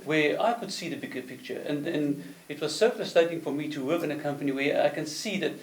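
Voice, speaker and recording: A man's voice: a little high, distant mic. Earnest man, off-mic echo. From South Africa. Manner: earnest, slightly halting phrasing. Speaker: business consultant guest. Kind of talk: casual interview chat. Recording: echoey room, off mic.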